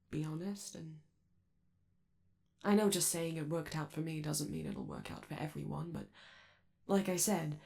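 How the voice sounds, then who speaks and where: A woman's voice: sits low, gravelly, darkish tone, exaggerated voice. A woman playing a friendly, nervous robot alien crewmate in a comforting ASMR roleplay, rambling and hesitant as they reassure the listener. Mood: reassuring, earnest.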